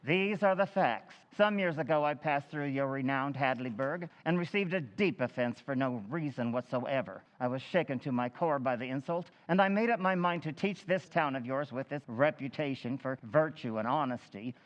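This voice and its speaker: An actor playing a small-town meeting chairman who reads a letter aloud and runs an auction, in an old-time radio play recreation, in a declamatory, brisk manner. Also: mid-range voice, in a large hall, highs cut off, natural voice. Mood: declaiming.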